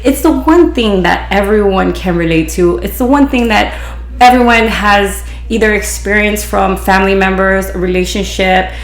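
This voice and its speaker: Hawaii woman w/ pidgin accent chatting away on her podcast, bit of vocal fry, roomy echo. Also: mid-range voice, moderate pace. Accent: Hawaiian Pidgin-influenced. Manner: chatty, rambling, frequent restarts and "you know". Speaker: podcast host, beautician. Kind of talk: casual storytelling.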